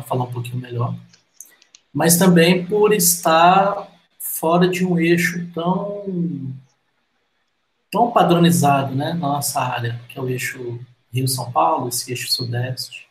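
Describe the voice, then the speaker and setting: Jokey man hosting an interview. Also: mid-range voice. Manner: jokey, self-deprecating, easily amused. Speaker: interview host. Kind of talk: casual interview chat. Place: remote call. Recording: roomy with faint background noise.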